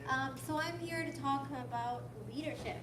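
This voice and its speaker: Soft-spoken woman presenter in echoey, noisy room. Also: high-pitched, normal speed. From Canada. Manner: hesitant, conversational, asks rhetorical questions. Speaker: guest speaker, software development manager. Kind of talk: informal lecture presentation. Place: lecture room at a tech school. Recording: echoey room, distant mic, noisy background.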